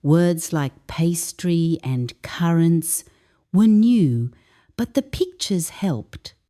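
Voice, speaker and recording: A woman, an English teacher, calmly reading a first-person story aloud as scripted narration. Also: fairly low pitch, extremely slow.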